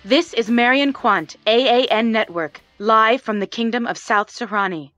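An actress playing a polished television correspondent, delivering a scripted news report in a composed, formal manner. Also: female voice, on the high side, moderate pace, fairly bright tone, no echo, highs cut off, faint music behind, close to an everyday voice. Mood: serious.

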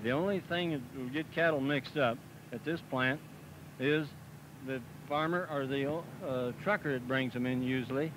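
A middle-aged man talks plainly, halting often with "uh" and tag questions like "see?". His voice sits at a mid pitch. He is recorded outdoors on a handheld mic, with steady noise on old 16mm film sound. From Missouri, US. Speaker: packing plant representative for a farmers group. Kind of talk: filmed outdoor interview. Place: outdoors by a cattle pen. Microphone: handheld mic held by interviewer.

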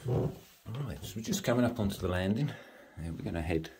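A man giving a matter-of-fact, halting walk-through commentary as an estate agent, listing features as he moves through a house. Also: on the low side, lively intonation, a little mellow, a little hiss or hum. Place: walking through rooms of a house.

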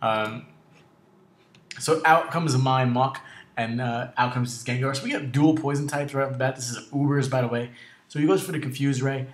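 A man with a raspy, sore voice, slightly short of breath, narrating a video game battle in a casual, halting way. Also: medium pitch, roomy sound. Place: indoor room at home.

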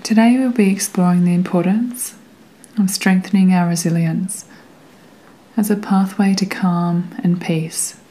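Slow, soft-voiced woman guiding meditation. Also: on the low side, fairly even intonation. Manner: gentle, soothing, unhurried instruction with long pauses. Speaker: meditation guide. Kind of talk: guided meditation narration.

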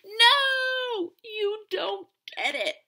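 Woman reading as a shrill, whiny little boy, high and wailing, storybook audiobook. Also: expressive, moderate pace, creaky, pushed and bright, full character voice. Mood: wailing upset. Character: young boy, excitable, whiny little brother.

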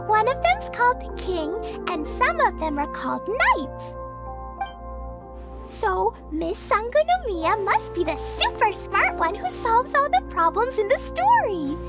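A woman voicing an excitable, imaginative little girl in a video game, in a childlike voice with simple childish wording. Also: exaggerated voice. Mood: eager, explaining. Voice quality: high, childlike. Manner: speaks of herself by name in simple childish words.

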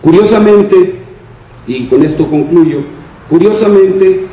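An older man giving a public panel talk in a deliberate, formal, measured way into a table microphone. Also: high-pitched, warm tone. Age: older, 60s or 70s. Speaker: panel speaker on science fiction. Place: indoor room at a panel table. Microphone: shared table microphone on stand. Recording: echoey room, distant mic, faint noise.

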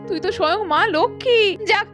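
A woman's voice: very high voice, animated, fast talker, voice pushed down. A woman voicing an emotional middle-aged mother in a puppet cartoon, repeating herself as she pleads. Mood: joyful. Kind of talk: cartoon voice acting. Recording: background music under the voice.

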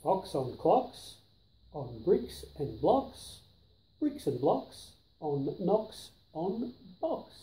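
Older man, children's read-aloud, emphatic rhyming, animated intonation, mellow tone, roomy living room sound. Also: fairly high pitch, very slow. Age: 60s or 70s. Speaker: storyteller reading to children.

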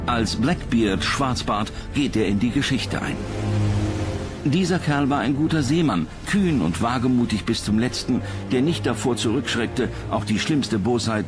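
Guy narrating a history documentary, scripted read, music clearly playing underneath. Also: mid-range voice. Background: music behind the voice.

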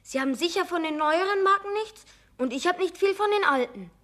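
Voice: very high-pitched, expressive, brisk, fairly bright tone. A young performer playing a polite, eager neighbour boy in a children's radio play.

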